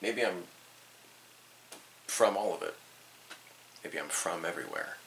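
A man's voice: low-pitched, lively intonation, unhurried, vocal fry, fairly bright tone, a little hiss or hum, low-quality recording. A young man reflecting thoughtfully on where he is from, hesitating and repeating words as he thinks, in a small room. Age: young adult. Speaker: vlogger. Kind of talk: reflective personal documentary talk. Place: small bedroom indoors.

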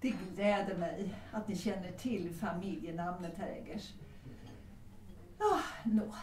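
An actress playing a proud, unsentimental middle-aged widow of good family in a period audio drama, speaking formally. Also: female voice, fairly low pitch, darkish tone, slight background noise, close to an everyday voice. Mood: matter-of-fact. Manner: formal, dignified, matter-of-fact. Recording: roomy, distant microphone.

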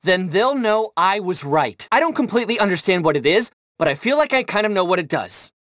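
A man's voice: sits high, sing-song, brisk, fairly bright tone, dry recording, clean studio sound. An actor playing a pompous, demanding male tech mogul in a video game, self-important, curt and bossy. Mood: smug, aggrieved.

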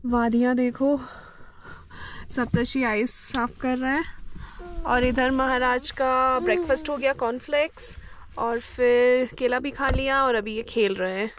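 A woman's voice: high voice, steady tone, a little slow, slight background noise, low-quality recording. A woman in her thirties chatting casually in a travel vlog, mixing Hindi and English, filmed on a phone held at arm's length. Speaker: travel vlogger. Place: hotel doorway and car.

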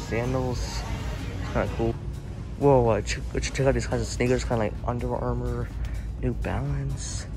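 Young dude filming a mall haul, slow talker, keeps stuttering, music under it. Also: male voice, on the low side, low-quality recording. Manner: stammering, repeats words, excited. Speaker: vlogger. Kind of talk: casual vlog narration. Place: shopping mall and sporting goods store, and a car. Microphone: handheld camera.

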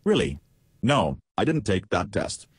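A man's voice: fairly low pitch, big pitch swings, measured pace, breathy edge, no room sound, studio quality, full character voice. A man playing a contrary, evasive chatbot in a reenacted interview, blunt and matter-of-fact. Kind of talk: reenacted text-chat interview.

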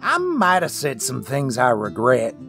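A practical, no-nonsense male pony sheriff , as a voice actor plays him. He speaks bluntly in folksy terms. His intonation is lively. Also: medium pitch, unhurried, soft background music, full character voice.

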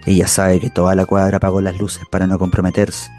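Actor as grave guy narrating a radio drama, really low voice, music behind. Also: completely flat delivery, a little fast, darkish tone, close to an everyday voice. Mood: grave, indignant. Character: grave, indignant male storyteller witnessing a crime.

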